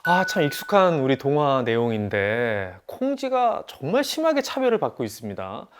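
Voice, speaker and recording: A man hosting an educational talk as an announcer, reacting in an expressive, reflective and sympathetic way. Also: mid-range voice, moderate pace. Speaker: host, announcer. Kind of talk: presenting an educational talk about fairy tales. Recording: faint background noise.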